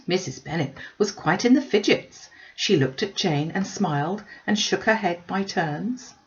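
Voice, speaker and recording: A woman's voice: fairly low pitch, expressive, a little slow, muffled recording. A woman reading a classic novel aloud as scripted audiobook narration. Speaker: audiobook reader.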